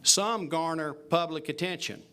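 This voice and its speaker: A man on a university faculty giving a ceremonial speech, telling a story with some halting fillers and restarts. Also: fairly high pitch, bright, forceful tone, clean tone.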